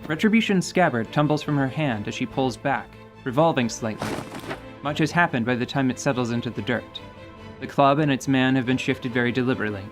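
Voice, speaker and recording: A man's voice: mid-range voice, fairly quick. A man giving dramatic, scripted narration for a fantasy radio play. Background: music.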